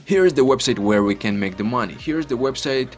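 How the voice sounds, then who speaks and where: A man's voice: medium pitch, animated, quick pace, soft background music. A man in his twenties from Ukraine with a Ukrainian accent, speaking English as a second language in a chatty, repetitive walkthrough that keeps calling out to "guys". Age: 20s. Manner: non-native English, chatty and repetitive, often says "guys". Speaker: online earning vlogger. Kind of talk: casual screen-recorded walkthrough.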